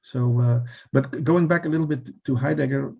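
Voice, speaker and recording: Gravelly, husky Dutch-accented man. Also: medium pitch, fairly quick, phone-quality sound. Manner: thoughtful, hesitant, frequent restarts and "right?" checks. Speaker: philosopher guest. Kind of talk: conversational explanation.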